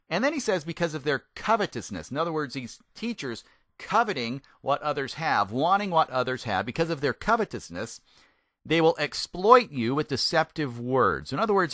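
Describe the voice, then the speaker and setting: A man, a native English speaker, gives a conversational Bible teaching, putting questions to the listener and stressing words deliberately. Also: medium pitch, a bit bright, no echo, clean studio sound. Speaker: Bible teacher, former pastor. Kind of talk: conversational sermon, Bible teaching with scripture reading.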